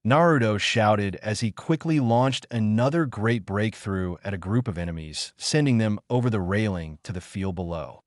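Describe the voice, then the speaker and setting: Guy w a lowish voice reading out a fanfic story, scripted narration, really dry sound. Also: dry recording. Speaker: narrator of fan fiction story videos.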